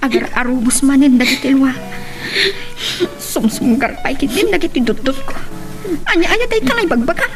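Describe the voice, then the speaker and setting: A woman acts a young friend in a radio-style drama, concerned and frank. She speaks in a high voice, coaxing as she goes. Light music runs beneath her. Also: expressive, moderate pace, poor audio. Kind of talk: Ilocano audio drama acting.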